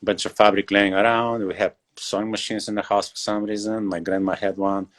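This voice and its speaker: Man, forties, from Bulgaria, English, deep voice, halting with lots of fillers, video call. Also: level tone. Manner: hesitant, many fillers and pauses. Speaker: guest leatherworker and shoemaker. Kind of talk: casual interview over video call.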